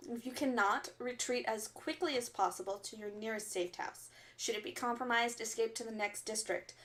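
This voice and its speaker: A woman playing an earnest, stern resistance fighter who delivers solemn, deliberate warnings in a radio drama broadcast. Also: fairly high pitch, fairly bright tone, close to an everyday voice, low-quality recording. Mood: stern, instructive. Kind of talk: audio drama acting as underground radio broadcast.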